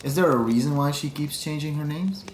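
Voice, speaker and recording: A man's voice: a little high, fairly quick, echoey room, a little hiss or hum. A man co-hosting a true-crime podcast, chatty, asking questions and adding random facts. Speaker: podcast co-host.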